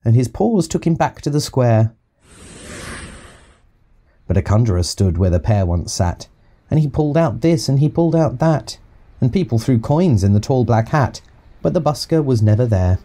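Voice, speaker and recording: A man reads a rhyming picture book for young children. His voice is mellow and medium in pitch, with very lively intonation. He keeps a clear, expressive rhythm through the verse. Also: normal speed, pauses often. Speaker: storyteller reading to young children. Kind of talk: scripted children's audiobook narration.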